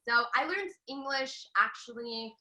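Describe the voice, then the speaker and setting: A woman's voice: fairly high pitch, a little slow, sharp tone, rough recording. A young woman from Los Angeles, a podcast guest who spoke Farsi first, chatting casually with lots of fillers and laughs. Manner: chatty, laughs often, many fillers and restarts. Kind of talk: casual chat.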